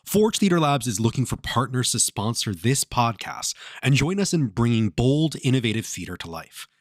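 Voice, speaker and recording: A man's voice: medium pitch, big pitch swings, moderate pace, dry recording, clean studio sound. A man reading a scripted promotional spot as an announcer.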